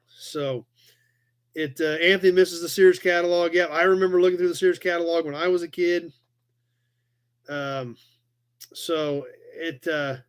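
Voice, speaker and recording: A man in his forties with an American accent and a slightly high-pitched voice hosts a casual live chat. He talks in an easygoing, rambling way, reading comments and answering them conversationally. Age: 40s. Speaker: live chat show host.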